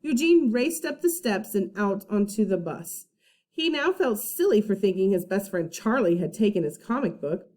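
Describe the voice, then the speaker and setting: A woman teacher reading a children's storybook aloud with dramatic emphasis, pausing to put questions to young listeners. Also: mid-range voice, expressive, a little mellow. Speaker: first-grade teacher.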